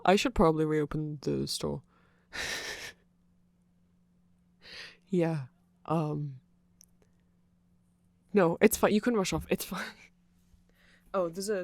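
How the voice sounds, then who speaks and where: A performer voicing a nerdy, apologetic vampire shopkeeper in a casual ASMR roleplay, rambling hesitantly and excitably to a friend. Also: mid-range voice, vocal fry, dry recording. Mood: sheepish, friendly.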